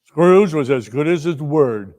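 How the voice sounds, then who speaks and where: Man, high-pitched voice, dramatic story narration, theatrical, emphatic phrasing. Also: lively intonation, unhurried, a little mellow, long reverb tail. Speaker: story reader and performer.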